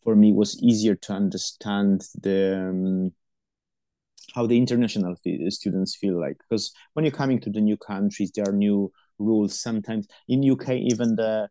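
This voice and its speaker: A man's voice: fairly low pitch, steady tone, normal speed, dark tone, dry recording. A middle-aged man who is not a native English speaker, answering interview questions about supporting university students, with many fillers and restarts.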